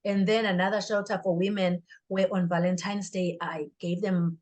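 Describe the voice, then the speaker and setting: A woman's voice: medium pitch, level tone, normal speed, darkish tone. A woman speaking as an interview guest, a life coach and author, in an earnest, motivational way. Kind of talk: interview answer.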